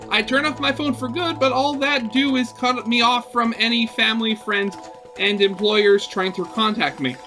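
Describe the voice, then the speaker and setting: A man with a fairly high, bright voice reads a visual novel aloud. His delivery is dramatic and expressive, slipping into conversational asides, with music playing faintly behind him. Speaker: video game let's player reading a visual novel aloud.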